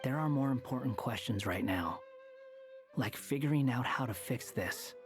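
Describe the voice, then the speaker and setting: A man's voice: mid-range voice, lively intonation, moderate pace, breathy edge. A man playing an aloof, prickly, proud young man in a game, with a dry, curt manner. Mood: cool, dry. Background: music.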